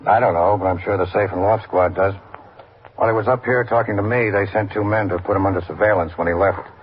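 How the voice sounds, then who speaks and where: An actor playing a laconic, understated police detective lieutenant in a classic radio drama. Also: male voice, on the low side, fairly even intonation, quick pace, lightly acted. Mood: dry, resigned. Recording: muffled, hissy old radio recording.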